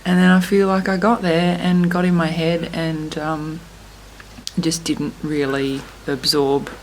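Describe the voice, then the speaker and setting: A woman with a croaky voice, talking candidly in a vlog about her festival shows, pausing often. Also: low voice, a little hiss or hum, low-quality recording. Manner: thoughtful, candid, many pauses and fillers. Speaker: musician vlogging at a festival. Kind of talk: reflective casual vlog talk.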